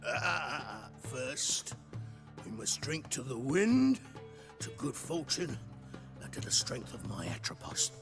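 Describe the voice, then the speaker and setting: A man's voice: mid-range voice, big pitch swings, takes it slowly, music in the background. A man playing a boozy, swaggering rogue of a sea captain in a video game, with slurred drunken speech. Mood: drunken bravado. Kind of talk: video game character acting.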